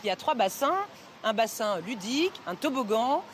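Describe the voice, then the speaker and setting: A woman's voice: a little high, animated, normal speed, a little hiss or hum. A woman speaking as a local official in an interview on location.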